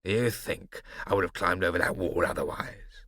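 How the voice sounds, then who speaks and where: A man's voice: low voice, normal speed, gravelly, a bit bright, no room sound, clean studio sound, full character voice. A man voicing a burly, menacing red-bearded bully who sneers and roars. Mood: sneering. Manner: sneering, roaring, blustering. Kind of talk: audiobook character voice.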